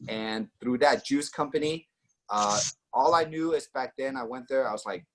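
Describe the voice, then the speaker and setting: A man's voice: mid-range voice, moderate pace, low-quality recording. A man in his forties from Austria, telling his personal story in a casual way, with many fillers and acted quotes. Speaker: network marketing guest.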